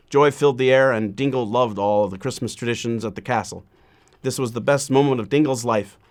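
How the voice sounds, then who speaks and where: A man with a medium-pitched voice reads a children's storybook aloud. He phrases deliberately and leaves frequent pauses, in the manner of a measured story-time reading.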